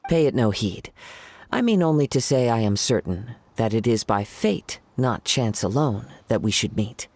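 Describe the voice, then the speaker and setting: A man voicing a young, serene wandering samurai in a video game, with a soft, breathy voice and a poetic, unhurried, gentle manner. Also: mid-range voice, animated, dry recording. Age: young adult.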